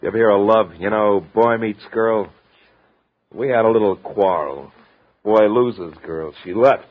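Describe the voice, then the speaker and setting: An American actor playing a moody, sardonic, lovesick sketch artist with an obsessive streak, clipped in delivery, in a 1950s radio drama. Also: male voice, fairly low pitch, measured pace, close to an everyday voice. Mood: intense, obsessive. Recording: dull, muffled vintage radio recording.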